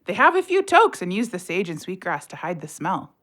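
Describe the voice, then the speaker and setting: A woman's voice: on the low side, wildly swooping pitch, brisk, a bit bright. Sardonic, bitter widowed mother. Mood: wry, teasing. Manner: colloquial, wry, blunt. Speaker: voice actor in audio drama. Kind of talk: naturalistic audio play dialogue.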